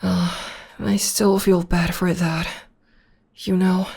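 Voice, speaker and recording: Casting: loving witch girlfriend for comfort ASMR, played by a woman, breathy and low, slow, soothing delivery. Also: little pitch movement, exaggerated voice. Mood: tender, reminiscing. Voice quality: soft, breathy, half-whispered. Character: gentle, loving witch girlfriend comforting her demon partner. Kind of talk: ASMR roleplay, intimate personal attention.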